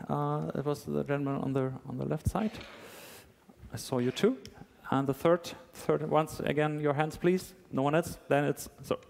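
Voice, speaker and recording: A man's voice: on the high side, creaky, darkish tone, slight background noise. Man moderating a panel in a reverberant hall. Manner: halting, searching for words. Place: large conference hall.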